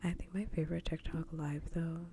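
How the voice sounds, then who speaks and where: A woman livestreamer chatting casually in a soft ASMR style, mostly in a whisper, close to the microphone. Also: little pitch movement, fairly quick. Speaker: livestreamer host. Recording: faint background noise, low rumble.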